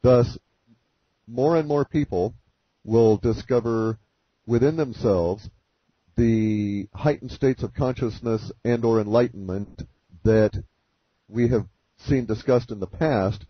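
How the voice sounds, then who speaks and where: A man giving formal, deliberate, technical explanations as an interview guest on a conference call. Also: slightly rough, dry recording. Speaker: interview guest presenting his forecasts. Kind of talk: measured conference-call exposition. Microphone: conference call line. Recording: muffled, low-fidelity conference call.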